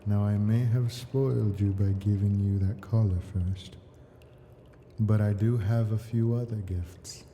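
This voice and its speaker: Ancient male dragon, played by a man, ASMR roleplay: very dark, mellow, slow delivery, possessive and doting. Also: lowish voice, fairly even intonation, a little hiss or hum. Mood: smug, doting.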